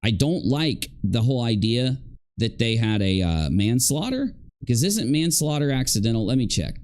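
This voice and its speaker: A man hosts a casual livestream in a middling-pitched voice. He rambles along, reads bits aloud and breaks off into jokey, grumbling asides. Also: sing-song, normal speed, a little mellow. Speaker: livestream host and commentator.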